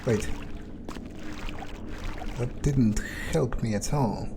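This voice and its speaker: Slow-talking man muttering through a game. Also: mid-range voice, noisy background. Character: grumbling, vengeful male vampire thinking aloud. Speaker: let's player. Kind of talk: in-character game playthrough, thinking aloud.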